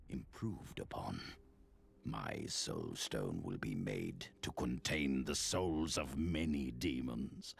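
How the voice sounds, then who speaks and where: A haughty, scheming dead sorcerer for a fantasy game. The voice is extremely deep and crackles with gravel, sinking toward a whisper. He speaks slowly and deliberately over some background noise. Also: fairly bright tone, heavily acted. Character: dead scheming arrogant old sorcerer, proud of his work. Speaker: game voice actor. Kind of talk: dramatic video game monologue.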